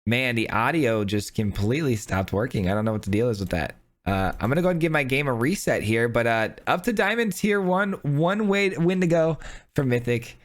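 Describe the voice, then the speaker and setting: Hoarse man chatting through a card game. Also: mid-range voice, animated, fairly quick. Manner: chatty, upbeat, thinking aloud. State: hoarse, losing his voice. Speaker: gaming channel host. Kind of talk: casual live gameplay commentary.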